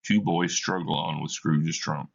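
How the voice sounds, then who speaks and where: A man reading a play's stage directions aloud for a class in a measured, plain delivery. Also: rumbling low, fairly even intonation, gravelly, muffled recording. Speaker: teacher reading a play aloud.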